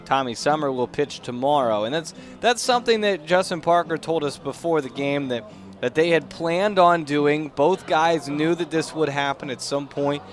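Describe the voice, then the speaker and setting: A man's voice: on the high side, moderate pace, faint music behind. A young man doing live baseball play-by-play commentary over outdoor ambient noise. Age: young adult. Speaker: play-by-play announcer. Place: outdoor ballpark during a live game. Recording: noisy outdoor ballpark ambience.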